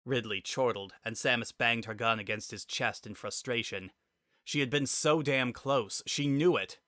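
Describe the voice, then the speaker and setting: A man narrating a scripted story in a dramatic, expressive and deliberate way. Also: medium pitch, dry recording, studio quality.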